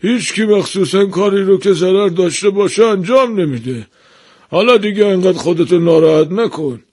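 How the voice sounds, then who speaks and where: A man acts out a master craftsman from a storybook, pitched high with a slightly rough voice. He is emphatic and placating, holding on to patience and courtesy with effort. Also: a little mellow. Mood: reassuring. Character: workshop master craftsman straining to stay patient and polite.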